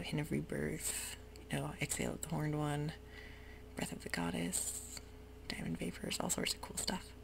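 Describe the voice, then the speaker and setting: Woman, whispering, low gravelly voice, casual rambling gameplay chat, hissy home recording. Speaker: ASMR gaming channel host. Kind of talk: whispered ASMR gameplay commentary. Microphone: desk mic while gaming. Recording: rough home recording with audible hiss.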